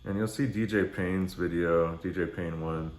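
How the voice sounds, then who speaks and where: Low-pitched man rambling in an echoey room. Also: steady tone, normal speed. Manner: blunt, laid-back, sweary. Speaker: music vlogger. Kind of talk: casual rambling talk to camera. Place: small indoor room with neon signs. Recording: roomy echo.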